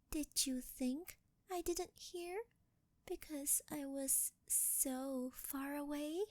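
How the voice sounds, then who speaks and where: Woman voicing a clingy shy succubus girlfriend, high girlish voice, very slow, breathy, coy, intimate close-mic ASMR roleplay. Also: mellow, dry recording. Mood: coy teasing. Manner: coy, halting, intimate, often dropping into whispers. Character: sweet, shy, clingy female angel turned succubus. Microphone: close ASMR microphone, dry sound.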